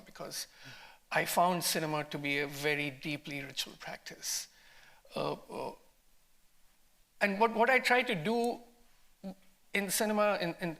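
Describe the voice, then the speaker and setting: Man, film director and scholar, bright edgy tone, marked vocal fry, reflective, emphatic, repetitive phrasing, unscripted. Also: a little high. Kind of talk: unscripted panel answer.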